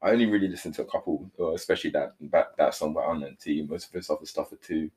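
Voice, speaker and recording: A man's voice: a bass voice, fast talker, darkish tone. A young man, co-hosting a podcast in loose, rambling casual chat full of fillers. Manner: laid-back, rambling, lots of "like" and "you know what I'm saying". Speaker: podcast co-host.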